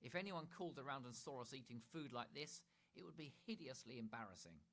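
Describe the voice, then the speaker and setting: A man's voice: mid-range voice, animated, fairly quick, fairly bright tone, pitched above the performer's own voice. A man voicing a snobbish, bossy middle-aged mother who speaks with prim, imperious hauteur. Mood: indignant.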